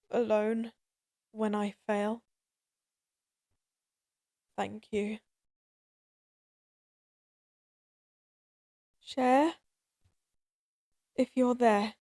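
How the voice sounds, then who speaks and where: Casting: forsaken, guilt-ridden goddess played by a woman, higher voice, unhurried halting delivery, emotional audio-drama acting. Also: dark tone. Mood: lonely pleading. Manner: halting, emotional, earnest pleading.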